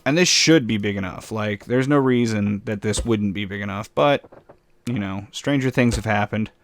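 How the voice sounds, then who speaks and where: A man casually commenting while building in a game, with a slightly raspy voice, rambling and poking fun at himself. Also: lowish voice, normal speed. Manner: rambling, self-mocking, chuckles often. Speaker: gameplay commentator.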